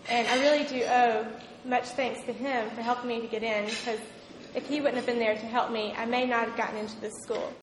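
A woman's voice: on the high side, little pitch movement, background noise. Young woman student, sincere, echoey room. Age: young adult. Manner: sincere, grateful, slightly halting. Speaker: university student. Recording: echoey room with long reverb.

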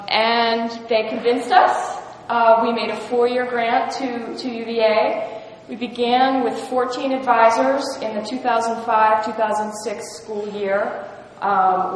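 A woman's voice: on the high side, a little hiss or hum. A woman speaking as a foundation leader in an interview, conversational with some ums. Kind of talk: promotional interview. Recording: very echoey room with long reverb.

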